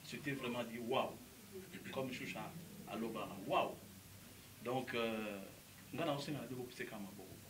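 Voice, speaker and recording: A man speaking animatedly at a press event with excited exclamations. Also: medium pitch, moderate pace, pauses often, reverberant, recorded from a distance, a crowd in the background, low-quality recording.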